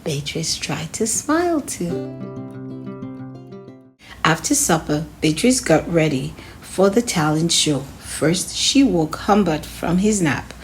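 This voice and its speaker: A woman reading a children's story aloud in an expressive way, speaking to her young listeners as a host. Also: on the low side, slow, faint music behind. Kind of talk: storybook read-aloud narration.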